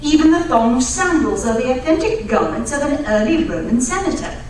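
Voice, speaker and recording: A woman plays a genteel lady in a radio mystery. Her voice is slightly high, with a polite and earnest delivery. The recording is distant and very echoey, with faint noise. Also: animated, moderate pace, darkish tone. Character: Lady Georgina, polite, helpful, well-bred female client. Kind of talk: old-time radio drama acting.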